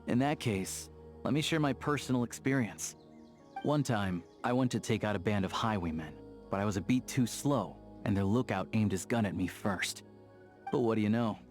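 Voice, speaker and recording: A man voicing a pragmatic, easygoing young hunter and lawman in a video game, talking in an unhurried, matter-of-fact way. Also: on the low side, lightly acted. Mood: wry, matter-of-fact. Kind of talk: video game character dialogue. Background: soft background music.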